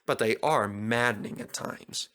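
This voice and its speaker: A man's voice: medium pitch, a little slow, a bit bright, clean tone, natural voice. A man doing a stern old steam engine. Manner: scolding, firm. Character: older steam engine, stern but kindly.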